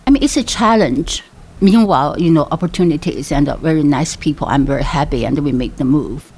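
A woman in her fifties from China, speaking English with a Chinese accent as a second language, telling her story in a halting way with many fillers. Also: low voice, lively intonation, darkish tone, a little hiss or hum. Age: 50s. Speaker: interviewee, mother. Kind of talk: personal storytelling.

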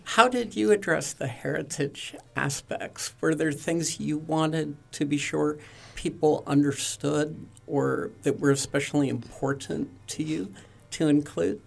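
Gravelly, slow-talking male interviewer. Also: fairly high pitch, steady tone, faint music behind. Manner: halting, many fillers and restarts. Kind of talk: radio interview.

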